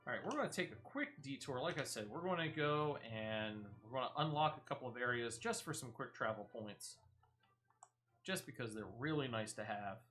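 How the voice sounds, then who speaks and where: Male game streamer, chatty and emphatic, bright tone, very animated intonation, off-the-cuff commentary. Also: mid-range voice, normal speed. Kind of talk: casual live gameplay commentary.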